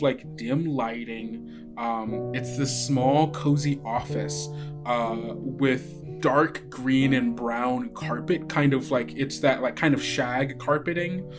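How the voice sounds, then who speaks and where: A game master improvising narration for a tabletop actual play, haltingly and searching for words. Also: mid-range voice. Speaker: game master and podcast host. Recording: music under the voice. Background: plucked guitar music.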